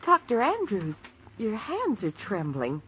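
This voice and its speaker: An actress playing a chatty, teasing young hospital receptionist in 1940s radio drama, breezy and playful. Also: female voice, medium pitch, huge pitch swings, measured pace. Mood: curious, prodding. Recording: muffled old radio recording, faint noise.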